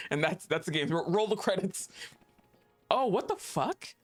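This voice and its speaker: A playful game streamer talks casually through a livestream, reacting out loud and chatting with viewers. The voice sits at a medium pitch but swoops around in a very animated way, with music playing behind it. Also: unhurried. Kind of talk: casual livestream gameplay commentary.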